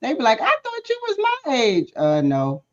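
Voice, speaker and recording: A woman's voice: a little high, wildly swooping pitch, moderate pace, dull audio. A woman, talking casually in a group chat.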